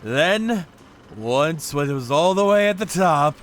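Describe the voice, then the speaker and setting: A man's voice: on the high side, animated, unhurried, slight background noise. A man narrating a manga story in the first person with a theatrical, cocky delivery.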